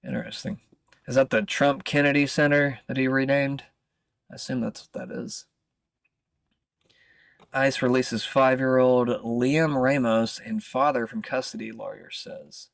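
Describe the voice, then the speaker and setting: Creaky American man commenting on the news. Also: mid-range voice, fairly even intonation, dry recording, studio quality. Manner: informal, halting, often interrupts himself with asides. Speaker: streamer and commentator. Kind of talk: casual livestream news commentary, reading articles aloud.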